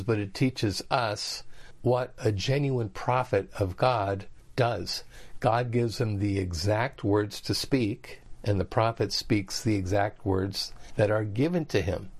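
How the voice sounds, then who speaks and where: A man's voice: on the low side, darkish tone. A man reading scripture aloud and explaining it in plain, everyday words as a Bible teacher. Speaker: preacher.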